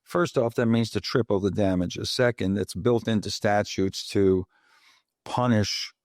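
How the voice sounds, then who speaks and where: A man from the Bronx, hosting a podcast interview in an animated, chatty way with many fillers and restarts. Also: lowish voice, unhurried, dry recording, studio quality. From the Bronx, New York. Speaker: podcast host. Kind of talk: conversational interview.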